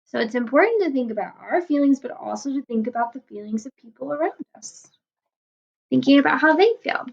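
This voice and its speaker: A woman teacher reading a picture book aloud to children, gently explaining words and asking them questions. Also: high voice, lively intonation, a little fast, creaky, highs cut off.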